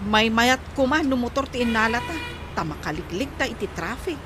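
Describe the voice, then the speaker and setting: An actress with an Ilocano Filipino accent playing an exasperated wife who nags about money in a comedy drama. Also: female voice, a little high, expressive, brisk, noisy background, lightly acted. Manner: complaining, exasperated. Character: nagging exasperated wife fretting over household spending.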